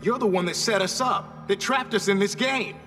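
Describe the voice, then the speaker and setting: An actor playing a brash, boastful half-robot teenage superhero in a cartoon video game, slangy and punchy. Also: male voice, high-pitched, normal speed, pushed and bright, faint music behind.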